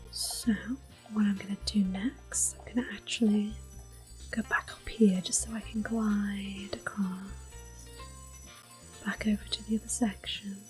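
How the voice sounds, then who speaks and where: A woman giving gentle, unhurried ASMR gaming commentary in a soft, breathy, often whispered voice, close to the microphone. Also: mid-range voice, level tone, faint music behind. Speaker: gameplay host.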